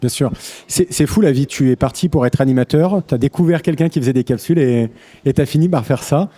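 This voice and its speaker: Man, interviewer from the Paris region, quick pace, mellow voice, friendly. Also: medium pitch. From Paris region of France. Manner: friendly, quick back-channel replies. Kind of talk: casual interview chat.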